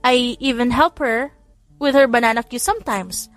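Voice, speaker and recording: A woman's voice: on the high side, moderate pace, natural voice. A woman voicing a sweet, teasing younger sister in an audiobook, mixing in English phrases. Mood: pleading, sweet. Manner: mixes Tagalog with English phrases. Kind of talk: audiobook character dialogue.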